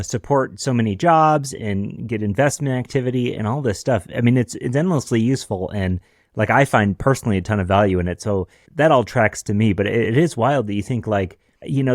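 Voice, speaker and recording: A man's voice: mid-range voice, dry recording, studio quality. A man hosting a podcast, asking casual, enthusiastic questions. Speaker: podcast host.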